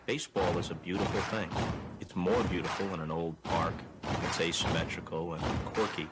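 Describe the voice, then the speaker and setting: Low male voice, steady and contemplative, interview style, heavy background noise. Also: normal speed. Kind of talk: reflective interview remarks. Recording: very noisy archive clip.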